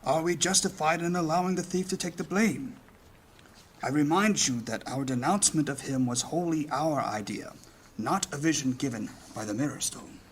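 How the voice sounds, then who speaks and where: A man playing a weary, grave leader of a mages' guild in a fantasy audio drama, speaking in measured, formal lines. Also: fairly high pitch, a little hiss or hum, natural voice. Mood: measured, cautioning. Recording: music under the voice.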